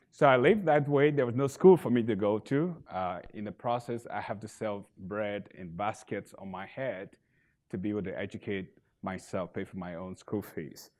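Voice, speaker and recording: Low-voiced Liberian man in his thirties; earnest founder pitch, rising to emphatic bursts. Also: a little mellow. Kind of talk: pitch presentation on stage. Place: event stage.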